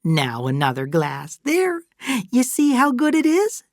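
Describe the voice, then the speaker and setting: A woman's voice: on the high side, wildly swooping pitch, a little slow, darkish tone, no echo, clean studio sound, pitched above the performer's own voice, exaggerated voice. A woman voicing an emotional, doting older mother in a storybook, gushing and insistent as she talks. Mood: merry, coaxing. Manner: gushing, exclamatory. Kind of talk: dramatised audiobook dialogue.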